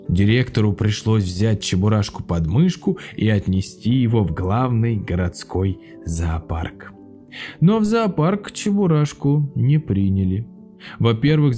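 A man, an actor, narrating a children's fairy tale in a lively, expressive way. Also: medium pitch. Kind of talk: scripted storybook narration.

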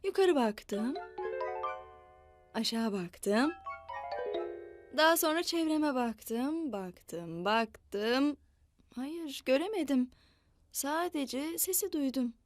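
A woman telling a story to young children in a playful, expressive, repetitive way. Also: high-pitched, soft background music. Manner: slow, repetitive, expressive delivery with animal mooing.

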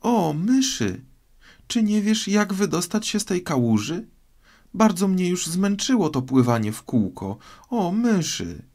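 A man voicing a polite, eager little girl in a storybook, chattering earnestly and quick to apologise. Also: sits high, big pitch swings, a little mellow, voice pushed up, heavily acted. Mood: earnest pleading. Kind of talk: storybook character voice.

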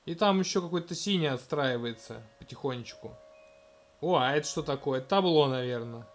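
A man in his thirties casually commenting on a video game in a gentle, coaxing way, talking to a small child beside him at home. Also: mid-range voice, expressive, normal speed. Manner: gentle, coaxing, talks to the child, narrates what is on screen. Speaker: let's-play host playing with his toddler.

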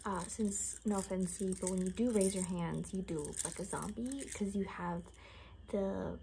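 A young woman speaking in a soft, breathy whisper, improvising a casual, rambling ASMR roleplay with a gently sardonic manner. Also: medium pitch, moderate pace. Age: young adult. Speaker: ASMR creator playing a caretaker. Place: small home bedroom, indoors. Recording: faint background room noise.

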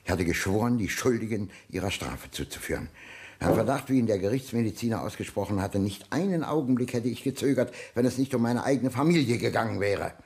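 Stern old judge, radio drama: low, husky, emphatic, moralistic grandfather. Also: expressive, fairly quick, darkish tone. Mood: righteous. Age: older. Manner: emphatic, righteous, insistent. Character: elderly retired judge, stern guilt-ridden moralistic grandfather. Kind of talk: German radio drama acting.

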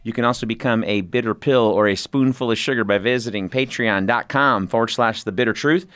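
A man's voice: mid-range voice, brisk, fairly bright tone, clean tone, dry recording, clean studio sound. A man from California hosting a podcast interview, talking casually with lots of restarts and the odd swear word. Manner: rambling, profane, many restarts. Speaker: podcast host.

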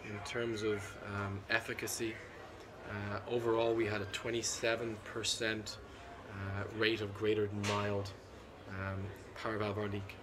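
A middle-aged man, a cardiologist being interviewed at a conference, talks in a measured, analytical way with frequent ums and restarts. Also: lowish voice, completely flat delivery, noisy background. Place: seated at a conference booth indoors. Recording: constant conference hall ambience.

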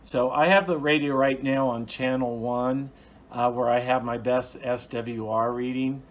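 A man's voice: mid-range voice, steady tone, a little slow. A man giving an informal, hands-on explanation as a hobbyist video host, plain-spoken and methodical, recorded on a phone at home. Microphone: phone, off camera.

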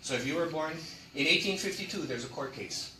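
A man's voice: a little high, animated, fairly quick, heavy background noise. A middle-aged man gives a classroom lecture, emphatic and asking his audience rhetorical questions. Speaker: lecturer on land history. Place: classroom with projector screen. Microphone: far camera. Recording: echoey room from far off.

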